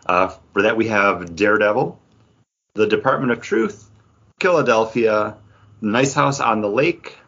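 A man's voice: on the low side, a little slow, fairly bright tone, breathy edge. A man hosting a podcast, chatting casually and informally in an off-the-cuff way. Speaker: podcast host. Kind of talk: casual chat, reading out award nominees. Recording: dull, lo-fi podcast audio.

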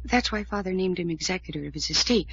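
Troubled young heiress in muffled old radio drama. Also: female voice, fairly low pitch, quick pace, fairly bright tone, husky, no room sound. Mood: uneasy, confiding. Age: young adult. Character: troubled, anxious twenty-four-year-old heiress, earnest and resigned. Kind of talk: 1940s radio drama acting.

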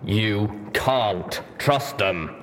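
A man's voice: fairly low pitch, expressive, extremely slow, fairly bright tone, slight background noise, full character voice. A man voicing a stern, suspicious old soldier pony in armor, indignant and commanding, with a reverberant helmet voice, for a fantasy audio story. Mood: accusing.

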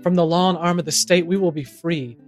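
A man's voice: fairly high pitch, fairly even intonation, a little fast, soft background music. A man delivering scripted comedic audio drama dialogue in a melodramatic manner. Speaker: show cast member playing the mayor.

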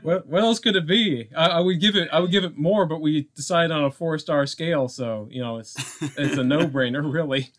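A man co-hosting a podcast, giving casual film commentary in a halting, thoughtful, wordy way. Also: on the high side, a bit bright. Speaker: podcast co-host.

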